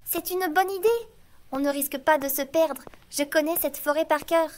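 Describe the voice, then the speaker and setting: A woman's voice: sits high, fairly even intonation, moderate pace, lightly acted. A woman voicing a confident, kind little girl in a cartoon. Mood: curious. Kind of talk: cartoon voice acting.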